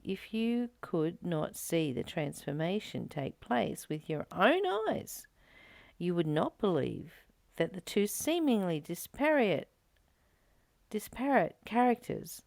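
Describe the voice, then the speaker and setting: A woman reading a spiritual text slowly and meditatively, adding her own comments and dropping into soft whispers to stress a point. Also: fairly low pitch, vocal fry, dry recording.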